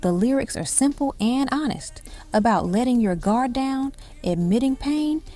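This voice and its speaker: A woman's voice: a little high, lively intonation, unhurried, dark tone. A woman reading scripted music countdown narration, telling it like an evocative story in short dramatic phrases.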